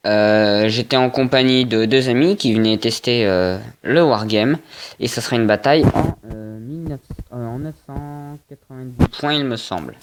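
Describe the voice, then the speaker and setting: Sniffly young man with a smooth voice. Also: mid-range voice, normal speed. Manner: hesitant, many "euh" fillers and restarts. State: has a cold, sniffling. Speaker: wargame player reporting a battle. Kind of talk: casual battle report narration.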